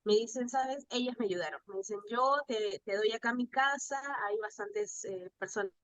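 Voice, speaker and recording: A woman's voice: a little high, normal speed, lo-fi sound. Chatty Peruvian woman telling anecdotes. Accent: Peruvian Spanish. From Peru. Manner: chatty, animated anecdotes with quoted dialogue. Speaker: guest, pastry chef. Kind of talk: casual conversational storytelling.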